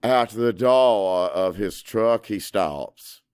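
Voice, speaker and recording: A man reading dramatic first-person audiobook narration in a brooding, bitter, deliberate manner. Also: on the low side, animated, a little mellow, smooth, no echo, studio quality.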